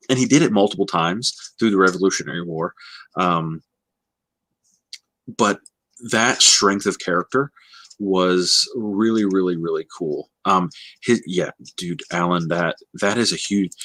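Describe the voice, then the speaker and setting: Male book livestream co-host, deep voice, dry close sound, halting casual delivery. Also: fairly bright tone, dry recording. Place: indoors, livestream call.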